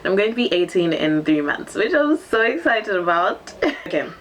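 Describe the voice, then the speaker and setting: A woman's voice: medium pitch, big pitch swings, moderate pace, a little hiss or hum. A seventeen-year-old girl, originally from Zambia and raised in Cape Town, chatting casually in a get-to-know-me vlog, bubbly and full of ums. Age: teens. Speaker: vlogger.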